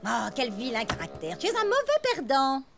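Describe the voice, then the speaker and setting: Shrill, haughty society mother. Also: huge pitch swings, moderate pace, vocal fry, exaggerated voice. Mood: indignant, bossy. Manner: pompous, theatrical, formal speech. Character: haughty, snobbish, overbearing high-society mother. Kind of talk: French children's cartoon dubbing. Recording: loud cartoon music behind the voice.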